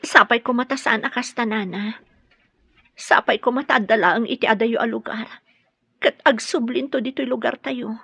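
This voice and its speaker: A woman acting a gentle, grieving and consoling character in a radio drama, with an Ilocano accent. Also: a little high, fairly even intonation, normal speed, creaky, husky, dry recording, exaggerated voice. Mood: sad, consoling. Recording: dry, dull lo-fi audio.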